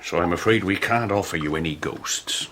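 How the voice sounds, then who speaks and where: Very deep-voiced old English butler. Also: male voice, normal speed, a bit bright, slight background noise. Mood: gentle, deferential. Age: older. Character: ageing, deferential, kindly Victorian household butler. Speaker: actor in a radio drama. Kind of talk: 1990s British radio drama acting.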